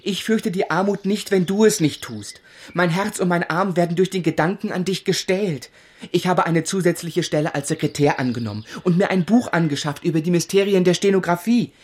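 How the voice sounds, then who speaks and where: An actor playing an earnest, ardent young gentleman who also narrates a radio play, in a literate, romantic manner. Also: high voice, fairly quick, breathy edge, no room sound. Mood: pleading, ardent.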